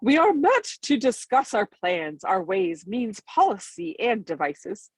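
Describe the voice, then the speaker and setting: Woman reading as a pompous dwarf leader, slow and husky. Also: mid-range voice, big pitch swings, dry recording, poor audio. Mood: pompous, solemn. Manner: slow, solemn, formal speechifying. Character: pompous, long-winded important male dwarf leader.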